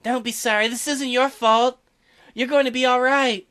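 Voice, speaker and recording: A man's voice: very high-pitched, steady tone, normal speed, bright, forceful tone, clean tone, voice pushed up. A man voicing a young woman archaeologist who talks back insistently and defiantly.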